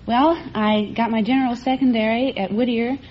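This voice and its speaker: A woman's voice: fairly high pitch, steady tone, moderate pace. A young American woman from California politely answering questions as a contestant in an old radio interview. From California, United States. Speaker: contestant, maths teacher. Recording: dull, hissy old radio recording.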